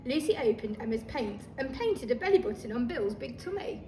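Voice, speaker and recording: A woman reading a children's story aloud like a teacher, expressive and talking listeners through the pictures. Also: a little high, brisk. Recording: roomy, distant microphone, faint noise.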